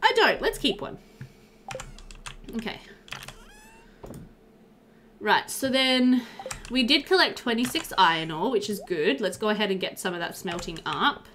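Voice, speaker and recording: Lively, chatty woman gamer thinking aloud. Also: medium pitch, measured pace, long pauses, a little hiss or hum. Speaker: gaming video host. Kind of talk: casual chatty let's-play commentary.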